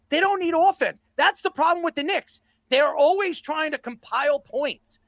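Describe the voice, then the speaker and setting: A man's voice: extremely high pitch, sharp tone, dry recording. A man on a sports talk panel ranting about basketball, blunt and emphatic, hammering his points by repeating them. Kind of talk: unscripted basketball rant.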